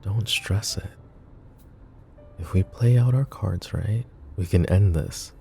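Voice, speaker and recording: Casting note: a man as a flirty, protective mafia boss for ASMR roleplay, deep warm voice, soft music faintly behind. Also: slow, vocal fry, full character voice. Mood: calm scheming. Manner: teasing, soft-spoken.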